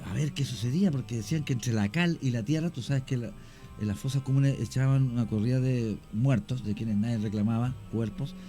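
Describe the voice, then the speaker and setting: A man's voice: mid-range voice, mellow, soft background music. Chilean man telling eerie cemetery tales. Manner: unhurried, many fillers and restarts, anecdotal. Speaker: guest storyteller. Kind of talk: radio conversation, recounting cases.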